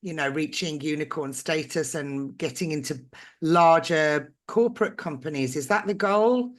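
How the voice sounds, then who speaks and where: A British woman hosting a podcast, chatty and encouraging, her voice going hoarse. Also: low voice, normal speed. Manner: encouraging, chatty, asks leading questions. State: losing her voice. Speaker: podcast host. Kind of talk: casual interview chat.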